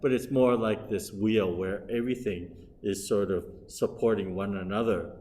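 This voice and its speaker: A middle-aged man giving a Buddhist dharma lecture as a minister, speaking in an unhurried, hesitant way with frequent pauses and restarts. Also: lowish voice, fairly even intonation, a little mellow, a little hiss or hum. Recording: long reverb from a hall.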